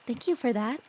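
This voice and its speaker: A woman playing a sweet, excitable teenage girl with a crush in a fan audio drama, expressive and earnest. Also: very high-pitched, a little hiss or hum, heavily acted.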